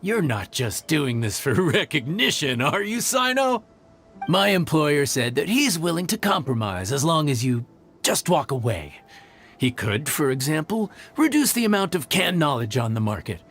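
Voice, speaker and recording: A man's voice: fairly high pitch, fairly bright tone, slightly rough, heavily acted. An actor playing a cocky, threatening male mercenary in a video game, taunting and smug in manner. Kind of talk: scripted video game dialogue.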